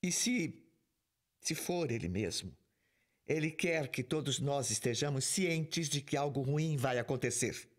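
An actor playing a stern, authoritative squad commander in an audio drama, speaking firmly. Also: male voice, mid-range voice, expressive, measured pace. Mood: grave. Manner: firm, authoritative.

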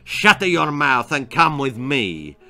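A man voicing a menacing Italian gangster posing as a pizza delivery man in a storybook, gruff and barking threats. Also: medium pitch, very animated, slow, sharp tone, soft background music, full character voice.

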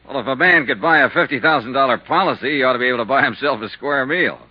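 An American actor playing a tough, wry insurance investigator in a 1950s radio drama, clipped, pressing and dry. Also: male voice, medium pitch, fast, slightly rough, close to an everyday voice. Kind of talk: 1950s radio detective acting. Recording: muffled 1950s radio recording.

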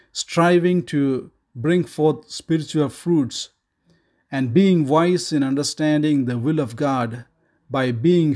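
A middle-aged man teaching a Bible study, measured and deliberate, reading verses aloud with frequent "uh" fillers, recorded indoors close to the camera. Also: mid-range voice, a little mellow.

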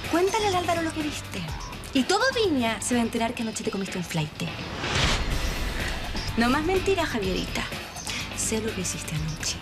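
Taunting young Chilean woman, high lively voice over music. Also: moderate pace, pauses often. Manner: teasing and mocking. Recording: music score underneath.

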